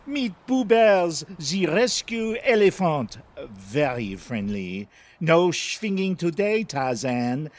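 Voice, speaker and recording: Melodramatic, high-voiced director. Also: male voice, very animated, takes it slowly. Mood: bossy, breezy. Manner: theatrical broken English sprinkled with French. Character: excitable, melodramatic foreign commercial director. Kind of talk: audio comedy drama acting.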